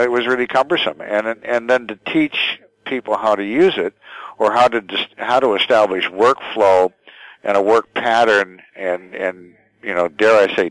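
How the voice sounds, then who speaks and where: An older man answering interview questions thoughtfully and haltingly, often repeating words as he goes. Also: fairly low pitch, no room sound, rough recording. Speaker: radio station general manager, interview guest.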